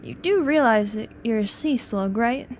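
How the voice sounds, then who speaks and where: A woman's voice: a little high, lively intonation, normal speed, a little mellow, lightly acted. A woman playing a skeptical, matter-of-fact research doctor who asks questions politely and dryly.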